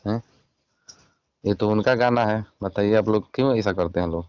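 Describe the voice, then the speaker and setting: A Bihari man with a low, clear voice presses someone over the phone as a channel reporter. He is insistent and scolding, and the call sounds dull and dry, with little room sound. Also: moderate pace, darkish tone, dry recording. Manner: insistent, admonishing. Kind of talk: confrontational phone interview. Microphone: recorded phone call.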